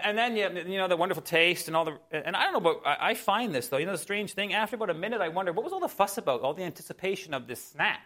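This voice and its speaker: A man's voice: a little high, fairly bright tone. A man preaching conversationally as a pastor, musing in a folksy way and speaking directly to his listeners. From Canada. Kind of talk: sermon, conversational with personal anecdotes.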